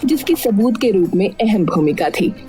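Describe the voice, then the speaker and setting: A woman's voice: medium pitch, expressive, normal speed. A woman reading scripted narration for a cartoon story.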